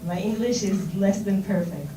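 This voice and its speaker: Halting Israeli woman, roomy hall sound. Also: medium pitch, mellow. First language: Hebrew. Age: middle-aged. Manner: halting, many fillers and restarts, conversational. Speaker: speaker at a seminar. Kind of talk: informal talk to students. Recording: roomy and distant, with noise.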